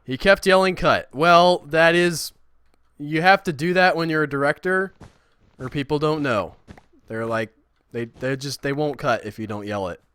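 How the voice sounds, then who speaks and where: A man chatting casually while he streams a building game, rambling and self-deprecating, with a high, squeaky voice. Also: normal speed, fairly bright tone, clean tone, no room sound. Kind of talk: casual livestream chat.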